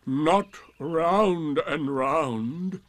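A man voicing a gloomy, sarcastic donkey in a storybook, with drawn-out, emphatic, indignant delivery. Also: a little high, fairly bright tone, no echo. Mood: sardonic, aggrieved.

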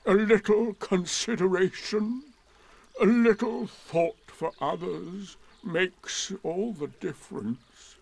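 A man's voice: high voice, gravelly, darkish tone, pitched above the performer's own voice, full character voice. A man doing grumbling gloomy old donkey. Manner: slow, grumbling drawl.

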